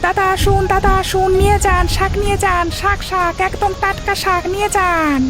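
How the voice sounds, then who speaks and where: A woman's voice: very high voice, level tone, normal speed. A woman voicing an eager, fawning male underling in a cartoon story. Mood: hawking. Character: squeaky, eager, flattering male underling of trader. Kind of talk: cartoon moral-story voice acting.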